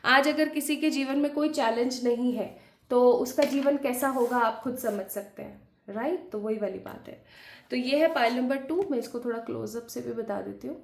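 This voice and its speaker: Woman, tarot reader, slightly hoarse from a cold, higher voice, chatty and direct, echoey room. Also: moderate pace. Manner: chatty, addresses viewers directly, mixes English phrases. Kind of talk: pick-a-pile tarot reading to camera.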